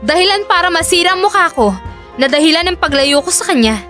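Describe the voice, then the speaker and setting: A woman's voice: extremely high pitch, lively intonation, quick pace, pushed and bright, faint music behind, voice pushed up, full character voice. A woman playing a vengeful, coldly threatening blackmailer in an audio drama, taunting and menacing. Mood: cold demanding. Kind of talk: Filipino audio drama acting.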